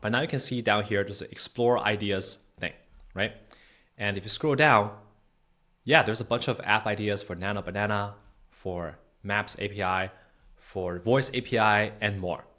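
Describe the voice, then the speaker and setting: A man presenting a software tutorial in a casual, conversational, explanatory way, often checking in with the listener. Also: fairly low pitch. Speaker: tutorial host.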